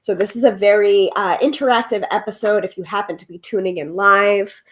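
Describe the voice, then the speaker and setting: A woman's voice: medium pitch, a little fast. A woman in her forties with a froggy, congested voice, chatting casually as the host of a live tarot stream, with lots of ums and laughter. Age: 40s. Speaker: tarot reader hosting a live show.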